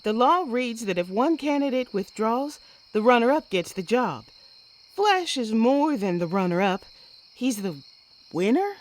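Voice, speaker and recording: An actress playing a sharp, defiant Old West woman sheriff with folksy speech, in a western audio drama. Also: fairly high pitch, very animated, a little slow. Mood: resolved. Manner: folksy frontier talk, assertive. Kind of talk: western comic-book audio drama acting.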